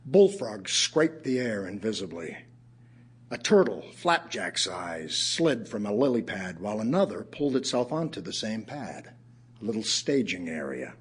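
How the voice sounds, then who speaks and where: Ailing middle-aged poet, very husky, unhurried, expressive pitch swings, reflective audio drama recital. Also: male voice. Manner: measured, reflective, with emphatic pauses. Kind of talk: dramatic audio theatre poetry reading.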